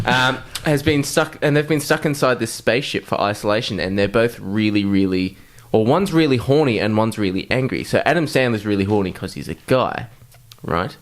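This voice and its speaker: A man's voice: fairly low pitch, lively intonation, normal speed. A man co-hosting a podcast, chatting casually and improvising comic stories. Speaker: podcast co-host. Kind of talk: casual joking chat and improvised storytelling.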